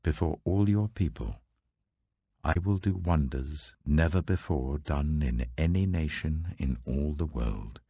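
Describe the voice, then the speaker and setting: A man reading a scripture audiobook, voicing a stern male God who speaks in a solemn, commanding, deliberate manner. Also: rumbling low, dark tone, slightly rough, no room sound, studio quality, exaggerated voice.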